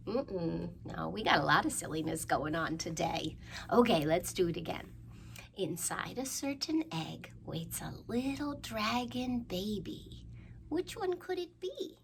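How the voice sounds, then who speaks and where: A woman presenting a children's storytime, reading aloud in a sing-song, questioning way with playful pauses and hushed asides. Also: lowish voice, lively intonation, vocal fry. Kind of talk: animated read-aloud for young children. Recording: faint background noise.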